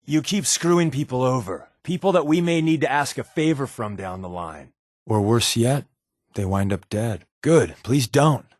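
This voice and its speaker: Actor as wry undercover agent, dry close sound. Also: male voice, mid-range voice, moderate pace, no echo, lightly acted. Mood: blunt, serious. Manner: wry, glib, conversational. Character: wisecracking, secretive male undercover agent, sly and guarded. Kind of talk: video game companion dialogue.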